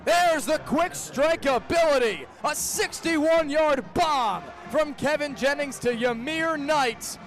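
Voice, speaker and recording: High-pitched young male football radio announcer. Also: faint music behind. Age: young adult. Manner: clipped phrases building into drawn-out excited calls. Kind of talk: live radio sports commentary.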